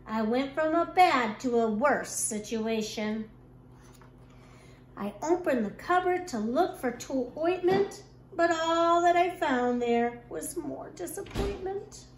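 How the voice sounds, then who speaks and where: A woman kindergarten teacher reading a rhyming picture book aloud to young children in an expressive, deliberate storytelling manner. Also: high-pitched. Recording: echoey room with clear background noise.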